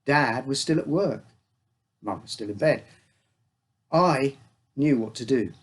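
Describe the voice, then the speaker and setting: A man's voice: medium pitch, animated, takes it slowly, slightly rough. A man reading a scripted uncanny story as its storyteller. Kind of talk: scripted narration of an uncanny tale.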